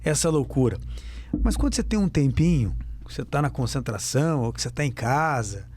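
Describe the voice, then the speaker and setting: A man's voice: mid-range voice, expressive, brisk, noisy background. A man interviewing a young footballer, asking emphatic questions and repeating them for effect. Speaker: sports radio interviewer.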